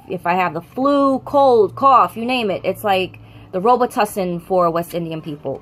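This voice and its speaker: A woman's voice: medium pitch, fairly bright tone. A young woman from the United States with an American accent, chatting casually to camera in a rambling way and often correcting herself. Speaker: vlogger.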